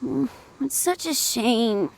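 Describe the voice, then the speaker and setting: A woman's voice: fairly high pitch, lively intonation, slow, gravelly, exaggerated voice, low-quality recording. A woman voicing a sweet, eager little boy in a video game, childlike and earnest. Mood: grateful, wistful.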